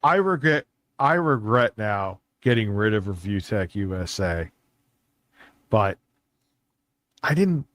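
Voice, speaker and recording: A man's voice: fairly low pitch, lively intonation, takes it slowly, pauses often, a bit bright, no room sound, studio quality. A man talking casually off the cuff as an online creator, blunt, candid and prone to swearing. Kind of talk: casual unscripted monologue.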